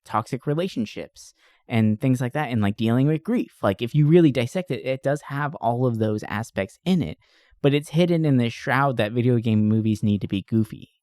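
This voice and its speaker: A man's voice: medium pitch, moderate pace, a little mellow, dry recording, clean studio sound. A man hosting a podcast, chatting casually and informally about entertainment, with loose, slangy phrasing. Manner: informal, frequent "like" and "you know", occasional swearing. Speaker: podcast host. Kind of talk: casual podcast chat about movies and games.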